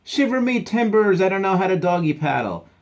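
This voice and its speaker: A man's voice: sits high, moderate pace, darkish tone, pitched above the performer's own voice. A man voicing a bossy, grumpy dog pirate captain from a storybook, with blustery, exclaiming pirate talk. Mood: panicked.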